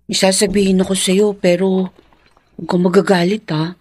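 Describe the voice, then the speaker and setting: A woman's voice: mid-range voice, flat delivery, normal speed, a little mellow, breathy edge, natural voice. A Filipino woman playing a hesitant, confiding young female friend passing on gossip in a radio drama.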